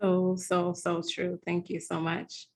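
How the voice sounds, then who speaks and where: A woman's voice: fairly low pitch, monotone, slow, creaky. A woman with an American accent moderating an online panel in a conversational way. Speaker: webinar moderator.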